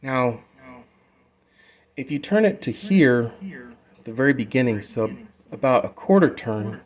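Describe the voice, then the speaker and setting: A man's voice: medium pitch, animated, unhurried. Man giving a casual, rambling demo. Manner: informal, rambling, trails off mid-explanation. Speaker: presenter demonstrating a vocal effects unit. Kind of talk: casual hands-on product demo.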